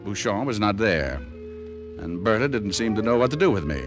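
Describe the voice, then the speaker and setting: A wisecracking private detective from a vintage radio drama. His American voice sits fairly low and moves in lively, expressive contours. The delivery is cool and wry, with dry wit. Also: moderate pace, music in the background. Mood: wry, narrating. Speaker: lead actor and narrator. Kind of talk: old-time radio detective narration.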